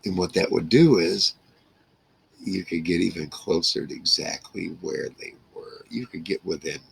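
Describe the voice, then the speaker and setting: A man in his sixties speaks in a very low voice with heavy gravel and a husky edge. He reminisces casually, rambling from one thought to the next in salty, plainspoken language. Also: moderate pace, low-quality recording. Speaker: vlogger. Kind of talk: casual reminiscing monologue to camera. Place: small wood-paneled room indoors.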